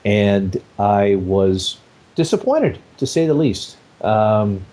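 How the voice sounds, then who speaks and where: A man's voice: fairly low pitch, animated, slow pace, dark tone. A man hosting a casual gaming podcast, rambling on at length with plenty of fillers and restarts. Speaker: podcast host.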